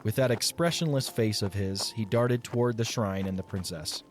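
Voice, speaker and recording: A man narrating an audio drama in a measured, expressive storybook style. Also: lowish voice, soft background music.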